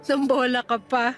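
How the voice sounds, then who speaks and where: A woman's voice: high voice, full character voice. An actress playing a kind but guarded boarding-house manager in her thirties in a Filipino radio drama, with a teasing, reassuring manner.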